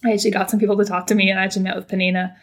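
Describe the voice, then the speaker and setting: A woman's voice: mid-range voice, little pitch movement, very fast. A young woman from California, a PhD student, chatting casually as a podcast guest, rambling with many fillers and restarts. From California, United States. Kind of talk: casual interview chat.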